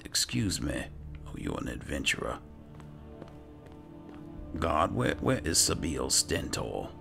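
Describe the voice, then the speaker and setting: Super low creaky guy muttering through a game roleplay, slow, music behind him. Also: very animated. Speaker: gaming host roleplaying his character. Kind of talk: roleplay gameplay narration, musing aloud.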